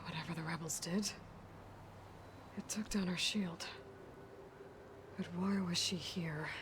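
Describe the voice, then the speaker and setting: Over clear ambient noise, an actress plays a serious young female scout in a video game. Her voice is on the low side, often dropping to a hushed mutter as she reasons things out with quiet determination. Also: steady tone, a little slow, natural voice. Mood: focused, pondering. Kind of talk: video game voice acting, muttering observations to herself.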